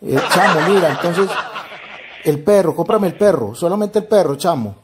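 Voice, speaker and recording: A man's voice: high-pitched, animated, moderate pace, a crowd in the background. A man with a Venezuelan accent making a prank phone call, pleading hesitantly while playing it straight. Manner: pleading, hesitant, repeats "chamo". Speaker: prank caller, channel host. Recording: very noisy phone prank recording.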